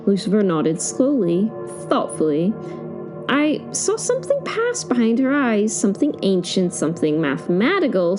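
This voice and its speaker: A woman playing a wry, awed witness, narrating a horror audio drama in the first person with suspenseful pauses. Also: medium pitch. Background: music.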